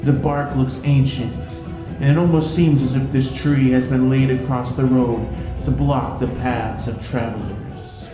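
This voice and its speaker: Slow-paced man narrating fantasy. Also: mid-range voice, steady tone. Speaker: game master. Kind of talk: scripted fantasy game narration. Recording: roomy echo, music behind. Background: music.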